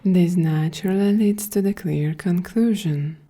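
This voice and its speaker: A woman voicing a calm, quietly grandiose female android in a breathy, half-whispered, soft-spoken ASMR roleplay. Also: lowish voice, faint music behind. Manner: slow, measured, solemn delivery.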